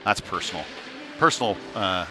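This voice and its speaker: A man doing live hockey play-by-play commentary in a halting delivery with mid-sentence pauses. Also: deep voice, lively intonation. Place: indoor ice rink during a game. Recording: noisy rink sound behind the voice. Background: clear venue noise.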